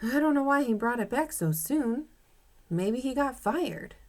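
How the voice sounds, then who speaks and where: A woman's voice: sits high, animated, measured pace, voice pushed up, exaggerated voice. Helpful, casual shop man in a kids' storybook voiced lightly by a female reader. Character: friendly, matter-of-fact male costume shop clerk in storybook.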